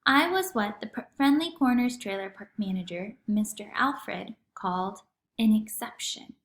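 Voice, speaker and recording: A woman reading a children's story aloud in an expressive, teacherly way, acting out the dialogue. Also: mid-range voice, moderate pace, reverberant. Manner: animated, acts out dialogue.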